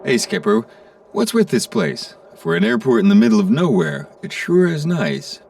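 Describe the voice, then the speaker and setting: A dutiful young military plane in an animated game. He is inquisitive and formally earnest, with a creaky voice and lively, shifting pitch. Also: moderate pace, distant mic, a little hiss or hum, full character voice.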